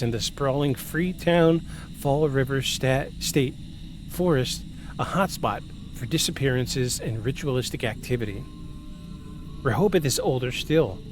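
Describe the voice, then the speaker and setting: A man in his forties hosts a podcast in an ordinary mid-range voice. He moves between scripted narration and loose, self-mocking banter. Clear music plays under him. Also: medium pitch. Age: 40s. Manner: self-deprecating, joking banter. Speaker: podcast host. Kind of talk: scripted narration and casual chat.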